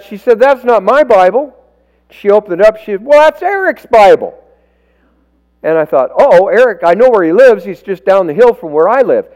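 A man preaching in church, telling folksy stories with self-deprecating asides and a loud, projecting voice on a stage microphone. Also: sits high, muffled recording. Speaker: lay preacher. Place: church sanctuary. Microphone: stage microphone, walks around.

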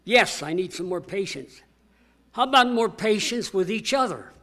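An older man delivers a sermon in church with a booming, emphatic voice. His pitch sits noticeably high for a man, and he slows down to press home key words. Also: expressive, fairly bright tone. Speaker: pastor.

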